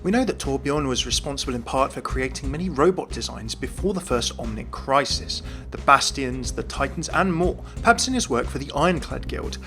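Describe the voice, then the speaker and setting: A man's voice: medium pitch, animated, a little fast, few pauses, sharp tone. A man giving a scripted commentary on video game lore. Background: faint music.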